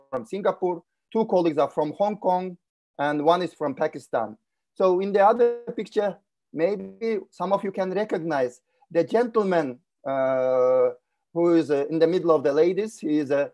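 A man's voice: a little high, mellow. A middle-aged man from Kyrgyzstan, giving a webinar talk on a video call in a formal, courteous way and often repeating words as he searches for them. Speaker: guest speaker. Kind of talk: webinar presentation. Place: indoor room.

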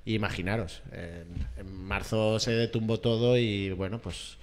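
A man's voice: fairly low pitch, fairly even intonation, a little slow. A man with an accent from Spain chatting informally as a podcast co-host, on a close microphone indoors. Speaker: podcast co-host, touring musician. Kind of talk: casual podcast chat. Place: room at a table, indoors. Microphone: close podcast microphone with headphones.

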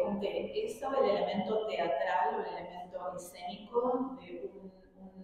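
A woman's voice: lowish voice, dark tone. A woman artist giving an informal talk to students in a classroom, hesitating often, with a Rioplatense accent that sounds slightly mixed. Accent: Rioplatense Spanish, slightly mixed after years abroad. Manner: hesitant, many filler sounds and restarts. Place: large university classroom. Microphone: room mic from a distance. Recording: distant, echoey hall sound.

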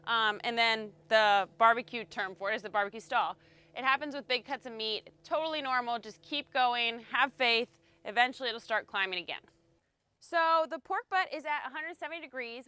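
A woman's voice: fairly high pitch, pushed and bright, clear, resonant tone, no room sound. A woman in her thirties giving a casual, chatty cooking demonstration on an outdoor deck, explaining as she works. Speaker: cooking instructor. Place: outdoor deck beside a grill.

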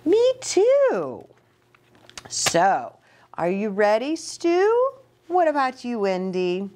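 Slow-paced woman with a lively, swooping voice. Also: on the high side, slight background noise. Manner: animated, asking the young listeners questions. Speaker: children's ministry storytime host. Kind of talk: picture-book read-aloud for young children with chatty asides. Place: small indoor reading nook with bookshelf and curtains.

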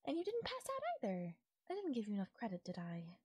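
A woman voicing a doting, possessive yandere fairy in an intimate audio roleplay, coaxing and softly teasing. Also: fairly high pitch, wildly swooping pitch, fast, husky, dry recording, exaggerated voice. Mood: delighted, doting. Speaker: voice actor. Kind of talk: intimate audio roleplay, ASMR-style acting.

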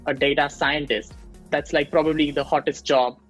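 A man's voice: on the high side, steady tone, moderate pace, a bit bright, faint music behind. Young man from India on a video call. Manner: explanatory, many fillers and restarts. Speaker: machine learning engineer guest. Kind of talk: casual interview on a video call. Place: small room at home. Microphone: earbuds on a video call.

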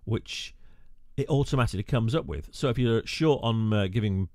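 A man's voice: lowish voice, moderate pace, a little mellow, dry recording, clean studio sound. A male radio presenter talking through a screen-recorded tutorial, chatty with wry asides and frequent "uh" fillers.

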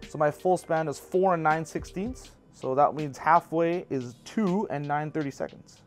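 A man's voice: a little high, a little slow, soft background music. A man in his thirties in a bowling pro shop, casually walking through a how-to with practical personal asides.

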